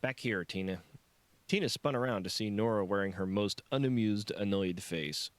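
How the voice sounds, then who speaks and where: Low-pitched man narrating an audiobook, dry sound. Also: moderate pace, no room sound. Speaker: author reading own audiobook. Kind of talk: scripted narration of a novel.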